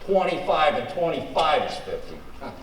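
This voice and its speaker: A man's voice: fairly high pitch, very animated, moderate pace. A man playing a befuddled, exasperated straight man in vintage comic banter, with bewildered, protesting retorts. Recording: roomy, distant microphone, faint noise.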